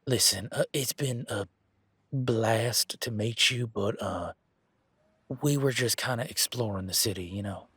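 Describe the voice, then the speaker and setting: A man's voice: on the low side, a little slow, a bit bright, husky, no room sound, full character voice. A man playing a polite, flustered werewolf boyfriend in a romantic audio drama, talking casually with nervous stammers. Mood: nervous, apologetic. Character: Gavin, flustered, polite, excitable young male werewolf boyfriend.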